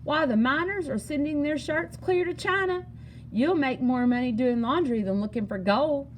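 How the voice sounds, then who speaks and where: A woman voicing a bright, confident frontier girl in a storybook, full of eager advice. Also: high voice, moderate pace, slight background noise, pitched above the performer's own voice, natural voice. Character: bright, enterprising frontier girl who bakes pies. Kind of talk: storybook character dialogue.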